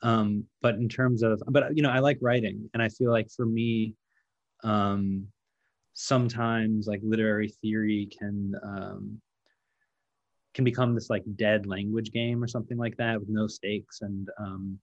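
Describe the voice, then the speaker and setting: A man's voice: fairly low pitch, little pitch movement, a little slow, dull audio. A man, a novelist answering interview questions in a modest, rambling way with many fillers.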